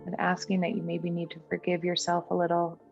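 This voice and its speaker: A woman guiding a meditation in a gentle, soothing, unhurried manner. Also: on the low side, monotone, creaky, lo-fi sound. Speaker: meditation guide. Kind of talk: guided meditation. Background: background music.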